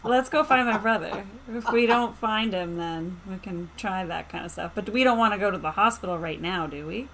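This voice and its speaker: A woman's voice: mid-range voice, normal speed, few pauses, a bit bright, a little hiss or hum. A woman playing a tabletop roleplaying game, talking casually with the group.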